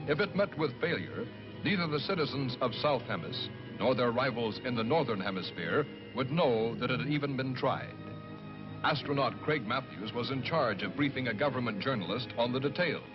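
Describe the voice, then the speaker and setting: A solemn male narrator played by an actor delivers measured, formal exposition for an old science fiction film. The soundtrack is dull, with prominent music behind him. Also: medium pitch, lightly acted. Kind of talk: scripted documentary-like film narration.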